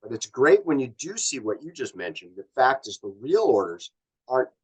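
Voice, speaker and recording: A man's voice: medium pitch, very animated, fairly quick, breathy edge, rough recording. A middle-aged man with a North American accent, talking casually as an interview guest in a rambling, explanatory and colloquial way. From born in Canada, grew up in Los Angeles. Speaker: company executive guest.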